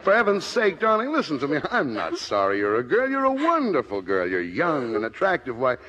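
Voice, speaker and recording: Animated, exasperated American dad. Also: male voice, on the high side, fairly quick, full character voice. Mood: reassuring. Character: exasperated but loving father, beleaguered football coach. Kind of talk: 1950s radio acting.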